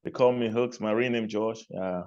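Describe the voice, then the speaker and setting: A man from Cameroon, answering interview questions in a relaxed way, repeating phrases and laughing lightly between points. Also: lowish voice, moderate pace, muffled recording. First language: not English. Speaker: guest, music artist and label executive.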